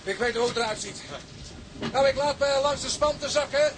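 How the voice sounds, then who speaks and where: A man's voice: very high voice, moderate pace. A man playing a plucky, plain-spoken salvage sailor with a blunt, exclamatory delivery in a seafaring drama. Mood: matter-of-fact. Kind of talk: Dutch seafaring drama acting. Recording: loud sea and engine noise.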